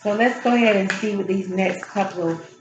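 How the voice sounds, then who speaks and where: A woman hosting a tarot and spiritual channel, her voice a little hoarse, talking slowly and encouragingly from her home healing space. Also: mid-range voice, echoey room, highs cut off, over music. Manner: soft, unhurried, encouraging, with drawn-out pauses. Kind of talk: casual spiritual encouragement talk.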